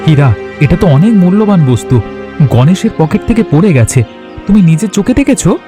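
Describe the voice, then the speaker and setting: A man's voice: high-pitched, animated, a little fast. A man voicing an honest, timid husband who warns his greedy wife. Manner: anxious, scolding. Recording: voice over music.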